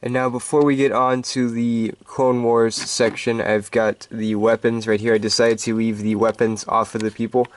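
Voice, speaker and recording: A man with a congested voice giving a casual, halting, unscripted show-and-tell of a collection. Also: mid-range voice, little pitch movement, dry recording. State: stuffed-up, congested voice. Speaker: hobby collector hosting a video.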